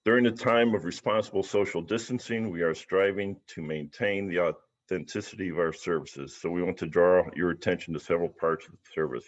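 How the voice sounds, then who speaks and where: Low-voiced man hosting a service, dull audio. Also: fairly quick. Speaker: service host. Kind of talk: formal welcome and announcements, partly read out. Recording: dull lo-fi video-call audio.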